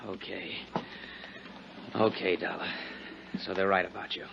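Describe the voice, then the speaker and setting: A muffled old radio drama with clear background noise. a gruff American tough, jealous and suspicious. His voice is on the low side and his talk is blunt. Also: level tone, a little slow. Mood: grudging. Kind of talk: 1950s radio drama acting. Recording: muffled, noisy 1950s radio recording.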